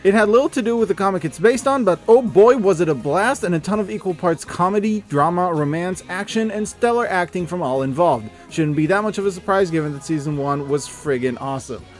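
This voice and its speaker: Male review host, fairly high voice, casual and emphatic scripted narration, enthusiastic, a little sweary, light music bed. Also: animated, fairly quick, hardly any pauses.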